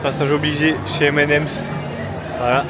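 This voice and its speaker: A man's voice: a little high, level tone, moderate pace. A young man hosting a casual travel vlog, talking to a handheld camera in an upbeat, joking way as he walks through a hotel room, busy streets and shops. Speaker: vlogger host. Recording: loud music and crowd noise behind.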